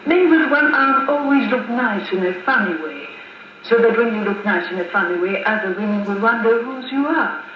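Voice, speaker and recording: An actress playing a playful, adoring foreign sweetheart who speaks deliberately and coquettishly in a 1950s radio drama, on an old wire recording. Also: female voice, a little high, expressive, close to an everyday voice. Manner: coquettish, deliberate uncontracted phrasing. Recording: muffled, noisy, echoey 1950s wire recording.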